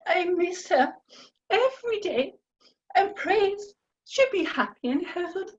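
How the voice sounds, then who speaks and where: A woman's voice: extremely high pitch, very animated, a little slow, heavily acted. A woman playing a prim, earnest, pious spinster in a comedy radio play. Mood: mournful. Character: prim, lonely, pious, easily affronted spinster. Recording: dull, muffled home recording.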